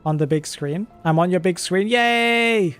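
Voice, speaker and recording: Brief: British live streamer, relaxed and chatty, mid-pitched voice, soft music underneath. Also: unhurried. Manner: chatty, chuckles often, thinks aloud. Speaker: game streamer. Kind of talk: live-stream chat reading viewer comments.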